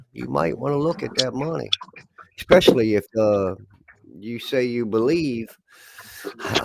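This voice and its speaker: A man's voice: mid-range voice, creaky, background noise. A man who is a guest on a livestream panel, speaking in a drawling, preachy way. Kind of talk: casual livestream chat.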